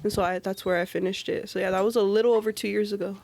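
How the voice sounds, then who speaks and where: Young woman, tattoo artist, casual chat, slangy, filler-heavy, medium pitch. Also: fairly even intonation, rapid-fire, a little mellow, slight background noise. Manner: loose and slangy, heavy filler "like", swears freely.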